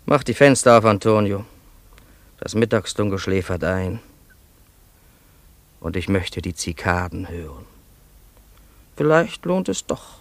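A man's voice: low voice, expressive, measured pace, pauses often, dry recording. An actor playing a musing, imperious man in a German radio play, dictating stories to his assistant in a theatrical, bossy, ruminative way. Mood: musing, commanding.

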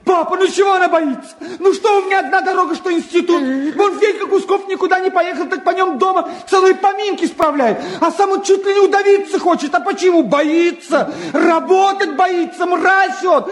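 A stubborn young son in an old Soviet radio play. His voice is very high for a man, and he speaks with insistent, emphatic pleading, eager to get his way. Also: a little fast, few pauses. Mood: heated pleading. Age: young adult. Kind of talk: mid-century Soviet radio drama acting.

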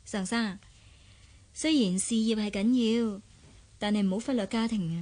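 A woman playing a gentle, playful young auntie in a radio drama. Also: mid-range voice, steady tone, moderate pace, natural voice. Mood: earnest.

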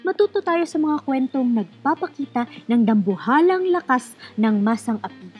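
A woman's voice: high-pitched, animated, moderate pace, highs cut off, over music. A woman hosting a scripted radio show, speaking to listeners directly in an exhortative, conversational way. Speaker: radio program host.

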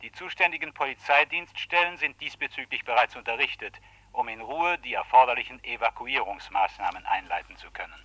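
A man's voice: mid-range voice, a little fast, bright, forceful tone, no room sound, poor audio. A male actor playing a grave, official radio announcer reading an emergency bulletin in a radio play. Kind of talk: scripted news bulletin within radio play.

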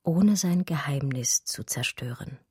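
An actress playing an educated art historian who recounts her love story in a radio drama, measured and eloquent. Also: sits low, expressive, mellow. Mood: reflective narrating. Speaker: narrator and lead character. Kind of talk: literary radio drama narration.

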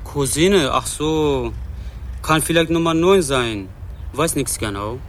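A man's voice: on the high side, slow, noisy background. An actor in a radio drama playing a wary Turkish man who speaks broken German with a Turkish accent. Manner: broken simple German, cautious. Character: wary Turkish man, neighbour in a run-down quarter. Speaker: local resident.

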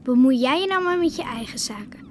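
A woman's voice: high-pitched, lively intonation, fairly quick, a little hiss or hum. A woman voicing an outspoken, opinionated young island girl in a radio play. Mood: firm. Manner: firm, outspoken. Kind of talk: radio drama acting.

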